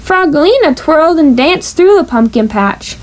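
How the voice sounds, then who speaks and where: A woman's voice: high-pitched, expressive, moderate pace. A woman reading a children's storybook aloud, playfully stressing the sound-effect words. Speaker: storybook reader.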